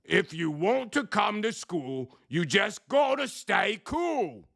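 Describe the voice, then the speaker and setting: Storybook young shark: boisterous, very gravelly, high-pitched, big drawn-out exclamations. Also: male voice, big pitch swings, fairly bright tone, heavily acted. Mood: friendly. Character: young male shark, boisterous, over-excited, friendly schoolkid. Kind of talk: children's storybook read aloud.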